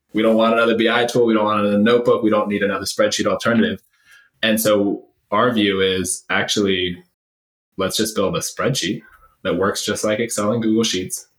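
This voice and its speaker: A very low-pitched man answers interview questions in a roomy, echoey space. He is emphatic and opinionated, stacking repeated phrases into lists as he makes his case in a conversational way. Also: a bit bright. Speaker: startup CEO and former finance leader, interview guest.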